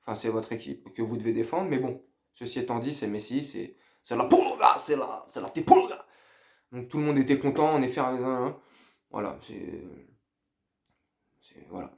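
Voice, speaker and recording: A man's voice: medium pitch, animated, moderate pace, gravelly, pauses often. A middle-aged man talking casually and slangily to viewers as a football fan, rambling and hesitating, sounding underwhelmed. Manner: underwhelmed, lacking enthusiasm. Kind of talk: casual post-match debrief to viewers.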